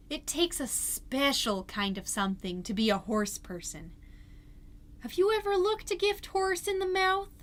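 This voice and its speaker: A woman playing a solemn reader who delivers a mock-inspirational essay in a hushed, deliberate, portentous way. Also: medium pitch. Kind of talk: mock-solemn dramatic reading.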